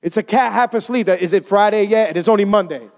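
High-voiced pastor preaching to a congregation. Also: male voice, fast talker, darkish tone. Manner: conversational, asks the congregation for responses. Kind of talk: sermon. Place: church service.

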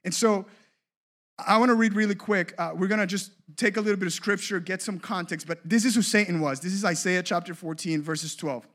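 A man's voice: sits high, fairly quick, fairly bright tone. A man in his thirties from Colombia, a creative ministry leader giving a casual, joking workshop talk with many fillers and restarts.

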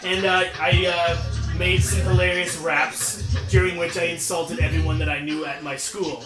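A rambling, self-mocking young man on a podcast. His voice sits a little high and keeps restarting mid-sentence. The room is echoey, with music playing behind him. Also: little pitch movement, normal speed. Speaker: podcast co-host. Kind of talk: casual banter. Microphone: close studio mic.

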